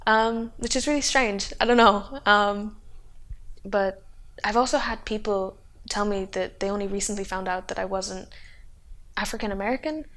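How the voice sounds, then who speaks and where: A young woman from Ireland talking candidly to camera for a documentary, pausing often with hesitant fillers. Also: mid-range voice, steady tone, fairly bright tone. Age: young adult. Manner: candid, hesitant with frequent ums. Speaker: documentary participant. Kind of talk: reflective personal testimony.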